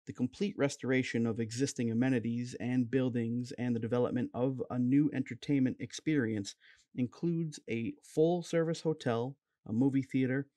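A man reading a scripted documentary narration in an even, measured way. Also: medium pitch, no echo.

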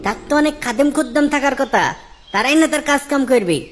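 A doubtful, chatty travelling companion from a moral cartoon , played by a woman. The voice is high and very quick, with faint noise behind it. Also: close to an everyday voice. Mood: curious, doubtful. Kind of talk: Islamic moral cartoon dub.

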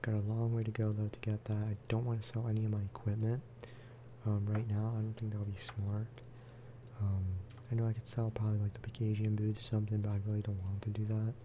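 A man speaking softly in a low-key, rambling ASMR style as he chats over gameplay. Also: completely flat delivery, quick pace, background noise. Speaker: gaming video creator. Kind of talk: soft-spoken ASMR gameplay chat.

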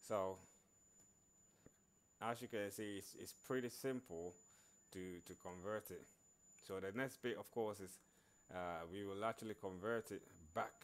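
A man narrating an informal programming screencast in a halting way, repeating words as he works. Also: on the low side. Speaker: programming tutorial presenter. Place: screen recording voice-over.

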